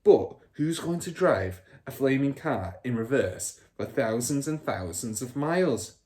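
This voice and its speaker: A man's voice: mid-range voice, expressive, unhurried, a little mellow, voice pushed up, close to an everyday voice. A man voicing a boastful, crooked middle-aged car dealer and rude father in a storybook, bragging and scornful with heavy emphasis. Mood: animated bragging.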